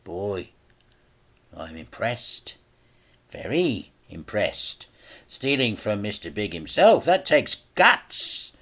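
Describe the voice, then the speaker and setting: Guy doing a nasty little gangster boss for a kids book, smug slow drawl, taunting. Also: fairly low pitch, very animated, fairly bright tone, full character voice. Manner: drawling, taunting, self-important.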